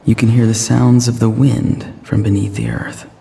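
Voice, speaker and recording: An actor playing a soft-spoken, apologetic, mystical young man in a video game, gentle, deliberate and polite. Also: lowish voice, level tone, vocal fry, slight background noise. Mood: apologetic, calm.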